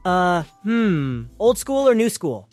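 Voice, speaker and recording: A man's voice: high voice, very animated, slow pace, darkish tone, soft background music, full character voice. Male actor, teenage boy, gamer, guarded, laid-back, short slangy replies, audio drama. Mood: casual. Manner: terse, casual teenage slang. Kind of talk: improvised audio drama dialogue.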